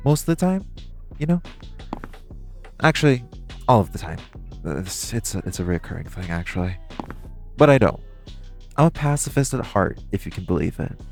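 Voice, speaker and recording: A man's voice: fairly low pitch, sing-song, moderate pace, gravelly, long pauses, faint music behind. A man playing a flirty, teasing supervillain thief in an audio roleplay, coy and theatrical, drawing out his phrases as he talks to the listener. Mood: chatty, wry.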